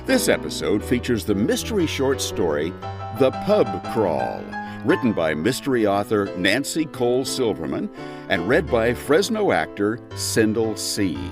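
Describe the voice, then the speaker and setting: A man's voice: on the low side, wildly swooping pitch, unhurried. A man reading a scripted podcast introduction as the announcer. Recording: plucked guitar music behind. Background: acoustic guitar music.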